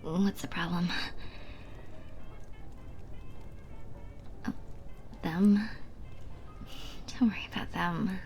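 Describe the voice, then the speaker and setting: Flirty, world-weary female bartender played by a woman for ASMR roleplay; unhurried, breathy half-whisper with a touch of fry. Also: fairly low pitch, steady tone, faint music behind. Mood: flirty, teasing.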